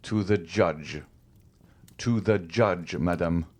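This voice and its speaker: A man's voice: sits low, takes it slowly, darkish tone, husky, full character voice. A man reading an audiobook, voicing a stern magistrate husband who accuses his wife with cold contempt and climbing fury. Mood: accusing. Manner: accusing, rising to furious outbursts.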